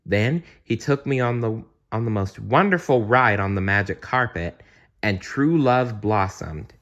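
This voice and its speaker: A man voicing a kind, playful young princess in a gentle, earnest storytelling manner for a bedtime storybook. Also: lowish voice, animated, a little slow, muffled recording. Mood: fond, earnest.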